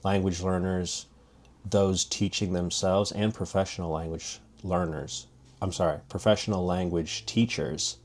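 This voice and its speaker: Casting: man with a low voice, teacher type, chatty and demonstrative, lots of restarts. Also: steady tone, measured pace. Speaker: language teacher. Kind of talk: casual spoken lesson.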